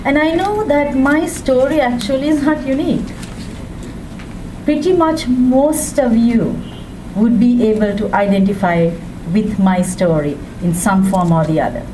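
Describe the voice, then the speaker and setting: A woman from Sri Lanka giving a public speech as a women's rights advocate, with a measured, deliberate delivery and emphatic rises. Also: on the high side. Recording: distant mic with background noise.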